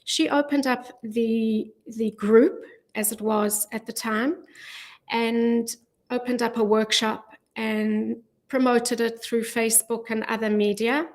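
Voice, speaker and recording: A middle-aged woman speaking as a guest in a radio studio interview, earnest and measured, with frequent ums, on a studio microphone. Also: a little high, fairly even intonation. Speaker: guest, charity volunteer. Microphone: studio mic with headphones.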